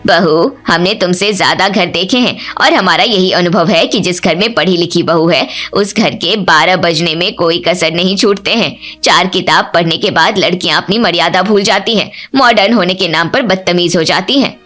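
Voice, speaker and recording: Older complaining mother-in-law female reader, cartoon drama, lowered voice, judgemental, faint music. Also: normal speed, hardly any pauses, a bit bright. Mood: judgemental, approving. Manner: grumbling, opinionated.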